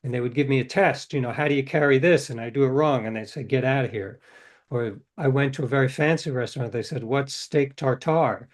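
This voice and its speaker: A man's voice: medium pitch, a little fast. An older man, a novelist, telling stories in a casual interview, rambling and correcting himself, acting out quoted dialogue. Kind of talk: casual storytelling.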